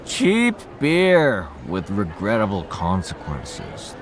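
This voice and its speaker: A man's voice: on the low side, wildly swooping pitch, slow, background noise, exaggerated voice. A man voicing a boozy, irreverent gossip in a video game, with a sardonic, theatrical drawl. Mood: boozy, cheeky. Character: boozy, irreverent man who gossips about local history. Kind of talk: video game character dialogue.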